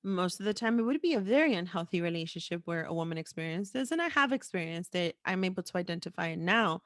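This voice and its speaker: A woman's voice: on the low side, animated, quick pace, fairly bright tone, no echo. Candid woman podcaster, dry close sound. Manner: frank, informal, repeats phrases for emphasis. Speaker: podcast host. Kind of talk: candid, unscripted podcast conversation.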